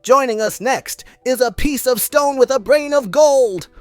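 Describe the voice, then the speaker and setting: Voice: high-pitched, unhurried, no room sound, faint music behind. An actor playing a genial puppet show host, making theatrical scripted introductions. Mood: genial, grand. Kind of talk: scripted comedy presenting.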